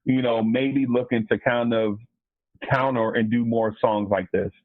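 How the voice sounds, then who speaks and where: A man co-hosts an interview in an earnest, slangy way, his voice a little husky. It comes through a thin, phone-like line, dry and close. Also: medium pitch, fairly even intonation, unhurried, dry recording. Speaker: radio co-host. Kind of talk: casual interview chat. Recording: tinny phone or call line.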